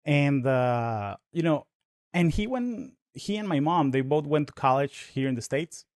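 Man, thirties, Spanish native speaker, podcast guest, fast rambling talk. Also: a little high, expressive, darkish tone, no echo, studio quality. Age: 30s. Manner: fast, rambling, with many restarts and fillers. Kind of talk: casual interview conversation.

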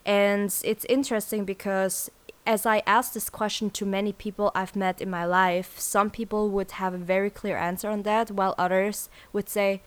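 A woman's voice: mid-range voice, little pitch movement, normal speed, smooth. Earnest young woman hosting a solo podcast. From born in South Korea, grew up in Switzerland. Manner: earnest, lots of "you know" fillers. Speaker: podcast host.

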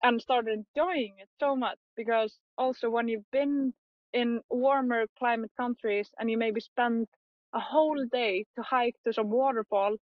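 A young woman photographer chatting in an interview, talking quickly with many fillers and frequent laughs. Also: on the high side, no room sound, phone-quality sound. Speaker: photographer guest. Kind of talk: casual interview chat.

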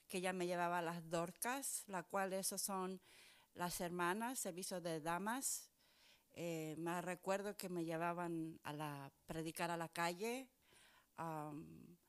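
A woman's voice: on the low side, smooth. A middle-aged woman pastor, talking casually as a guest and mixing some English into her Spanish. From Chile. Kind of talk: casual testimonial talk.

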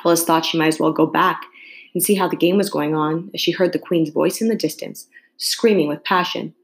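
A woman reading a classic children's story aloud as an expressive narrator. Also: sits low, brisk. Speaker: storybook reader.

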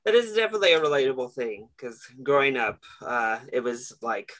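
A man's voice: mid-range voice, big pitch swings, a little fast, a bit bright. A man co-hosting a podcast in casual chat, wry and joking, laughing often. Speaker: podcast co-host. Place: Denver.

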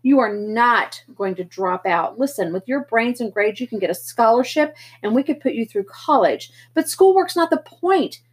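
A woman's voice: fairly high pitch, animated, normal speed, hardly any pauses, slightly rough. A woman reading a story aloud, voicing a worried older brother who scolds his younger brother. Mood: scolding. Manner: scolding, pleading. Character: teenage boy, older brother, stern and worried.

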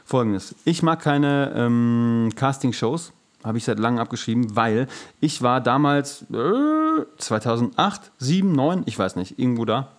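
A man's voice: medium pitch, expressive, unhurried. A man co-hosting a podcast, telling stories in a casual, colloquial and blunt way with some swearing. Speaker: podcast co-host; musical singer and actor. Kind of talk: casual storytelling chat.

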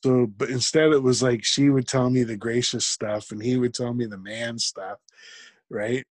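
A man, a guest in an interview, talking reflectively and haltingly, with frequent restarts. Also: medium pitch, steady tone, no echo, clean studio sound. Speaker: podcast guest. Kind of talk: conversational interview.

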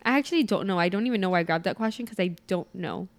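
A woman's voice: mid-range voice, lively intonation, rapid-fire. A woman in her twenties chatting casually with a friend, rambling and saying "like" a lot, with some playful teasing. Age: 20s. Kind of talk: casual chat.

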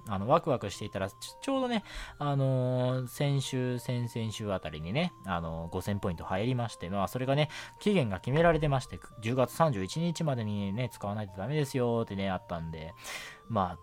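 A man's voice: mid-range voice, darkish tone. A young man hosting a casual solo radio chat in a rambling, giggly, self-deprecating way. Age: young adult. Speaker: radio show host. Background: background music.

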